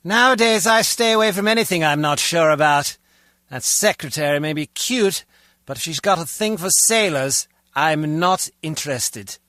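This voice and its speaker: A man's voice: a little high, animated, normal speed, sharp tone, no room sound, clean studio sound. A man playing a grumbling, wisecracking henchman guard in a spy video game, talking in a sardonic, conversational way. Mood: dry, disapproving. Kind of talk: video game dialogue acting.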